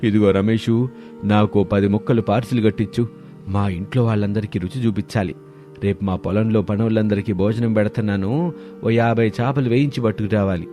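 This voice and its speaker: A man doing a hearty, deep village elder. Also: fairly even intonation, a little fast, dark tone, soft background music, close to an everyday voice. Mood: delighted. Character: hearty food-loving village elder, a well-off farmer. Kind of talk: dramatised moral story dialogue.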